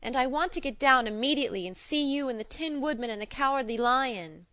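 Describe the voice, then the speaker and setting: A plucky little girl, speaks in a high, bright voice. She is earnest, plain-spoken and insistent, in storybook drama style. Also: animated, fairly quick, dry recording, studio quality. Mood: pleading.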